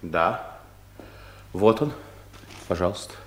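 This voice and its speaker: An actor playing a timid office clerk who gets flustered and indignant, halting and defensive, in a Soviet radio comedy. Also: male voice, low-pitched, animated, a bit bright, slight background noise, full character voice. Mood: nervous, evasive. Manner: halting, defensive, deferential to his boss.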